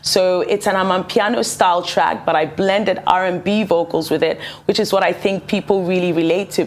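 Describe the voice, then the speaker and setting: A young woman from Ghana, raised partly in England, a musician answering interview questions articulately and peppering her speech with "you know". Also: on the low side. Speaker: musician guest. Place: television studio.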